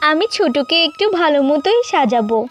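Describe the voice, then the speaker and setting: A woman voicing a selfless, dutiful young elder sister in a cartoon, pleading and soft-hearted. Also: high-pitched, animated, brisk, soft background music. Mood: tender, pleading. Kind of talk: Bengali cartoon fairy-tale acting.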